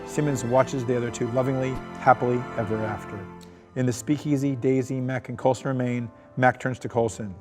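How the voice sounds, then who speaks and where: A man's voice: mid-range voice, fairly even intonation, brisk. A man narrating script directions aloud at a table read. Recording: loud music under the voice. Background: music.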